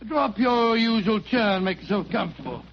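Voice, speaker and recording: An avuncular older English gentleman with a notably high voice. He tells his story fussily, doubling back on phrases with little hesitations. It is old radio acting, caught on a dull 1940s recording. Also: fairly quick, a little hiss or hum. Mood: genial, chatty.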